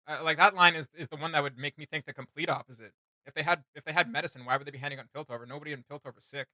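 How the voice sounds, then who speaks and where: A man's voice: fairly high pitch, fairly even intonation, rapid-fire, bright, forceful tone, no room sound. A young man chatting casually as a reaction video host, enthusiastic and rambling with plenty of slang. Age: young adult. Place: small home studio room.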